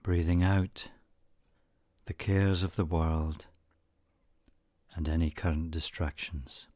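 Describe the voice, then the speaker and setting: A man with a low voice leads a guided meditation at a very slow pace. His delivery is soothing and measured, broken by frequent pauses. The sound is dry and close, with no room around it. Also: steady tone, a little mellow, dry recording. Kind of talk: guided meditation narration, scripted.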